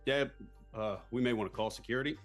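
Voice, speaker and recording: A man's voice: medium pitch, quick pace, a bit bright, faint music behind, close to an everyday voice. A man acting a baffled, brusque businessman at a table read of a comedy script, dry and hesitant. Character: baffled, brusque businessman father in his office.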